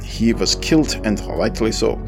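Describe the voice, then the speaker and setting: A man's voice: fairly low pitch, animated, normal speed, over music, voice pushed up. A man playing a domineering German villain. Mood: commanding. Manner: curt, domineering, drill-sergeant tone. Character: commanding German male racing car dealer, about forty.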